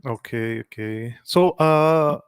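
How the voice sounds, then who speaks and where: A Brazilian man with a Brazilian Portuguese accent chats on a live stream at a middling pitch. He is talkative and laughs often, and he stumbles a little when he speaks English. His voice sounds dry and close. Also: slow, smooth, no room sound. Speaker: host practicing English with strangers. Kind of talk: casual live-stream chat.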